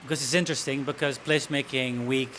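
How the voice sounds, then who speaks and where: A man's voice: medium pitch, fairly even intonation, fast, a bit bright, a little hiss or hum. A man hosting a casual interview, friendly, often searching for words with fillers and restarts. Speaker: podcast host interviewer.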